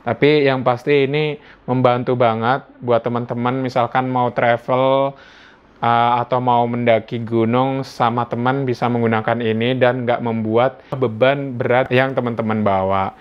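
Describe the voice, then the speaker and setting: A man's voice: mid-range voice, level tone, measured pace, clear, resonant tone. An Indonesian man giving a casual hands-on gadget review indoors at home, talking informally as if thinking aloud. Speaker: product reviewer. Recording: dull lo-fi sound.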